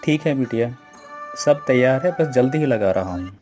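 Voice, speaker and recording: A man's voice: mid-range voice, animated, normal speed, music in the background, lightly acted. A man playing a deferential, reassuring household servant in a storybook tale. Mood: obliging. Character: obliging, deferential household servant, an uncle figure.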